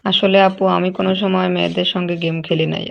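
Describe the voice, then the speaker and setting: Gravelly, fast-talking male gamer. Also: high-pitched, flat delivery, muffled recording, slight background noise. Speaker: online game player. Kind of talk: casual voice chat. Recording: game voice chat under music.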